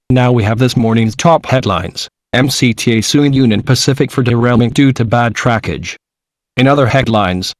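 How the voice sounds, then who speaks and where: A man's voice: medium pitch, no room sound, lightly acted. Man as a dry-sounding male news anchor. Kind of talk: scripted news reading.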